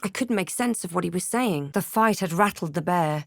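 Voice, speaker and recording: An actress playing a wary, snarky female adventurer in a fantasy video game, with a dry, measured, theatrical delivery. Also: on the low side, animated, creaky, no room sound. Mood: solemn storytelling. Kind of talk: video game voice lines, scripted barks and narration.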